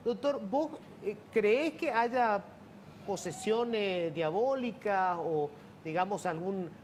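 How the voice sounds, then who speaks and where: Talk show host moderating a studio panel. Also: male voice, high voice, a little hiss or hum. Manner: conversational, interjects often.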